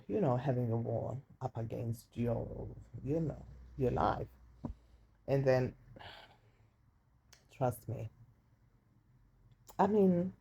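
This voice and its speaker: A woman with a deep voice casually telling a personal story, chatty and confiding, often repeating words for emphasis. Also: dark tone, a little hiss or hum, low-quality recording. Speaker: storytime vlogger. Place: indoors while rain falls outside. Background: light rain.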